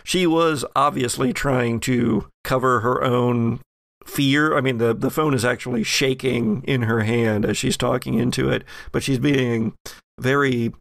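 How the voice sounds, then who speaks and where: A man acting as game master, narrating a horror-comedy tabletop game in a halting, deliberate way. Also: mid-range voice, fairly even intonation, vocal fry, fairly bright tone, no echo.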